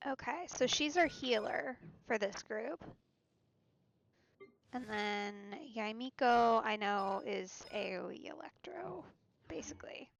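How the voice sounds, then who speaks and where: A woman giving casual commentary as she plays a video game on stream, thinking aloud haltingly with murmured asides. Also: fairly high pitch, expressive, creaky, slight background noise. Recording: muffled lo-fi audio, faint game music.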